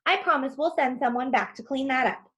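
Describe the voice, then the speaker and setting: A woman's voice: high voice, fairly quick, pushed and bright, voice pushed up. A take-charge young woman from a storybook adventure, as a woman reader acts her out. She is wry and urgent, calling out emphatically in a raised voice. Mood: hurried.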